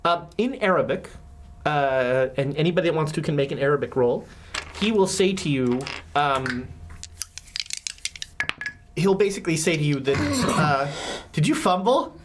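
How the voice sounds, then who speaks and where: A man's voice: a little high, animated, moderate pace, long pauses, slight background noise. A man running a tabletop game as game master, in a chatty, laughing way with lots of fillers.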